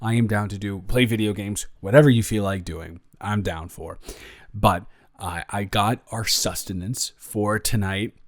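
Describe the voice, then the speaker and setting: A young man playing a caring, playful roommate with a crush in an improvised audio roleplay, rambling and halting in a casual, teasing way. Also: lowish voice, no room sound, clean studio sound, lightly acted. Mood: casual, reassuring.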